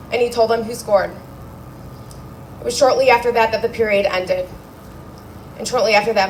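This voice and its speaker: Daughter giving a heartfelt eulogy speech. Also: female voice, mid-range voice, slight background noise, rough recording. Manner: heartfelt, wry storytelling. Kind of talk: memorial speech read aloud. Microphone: handheld microphone.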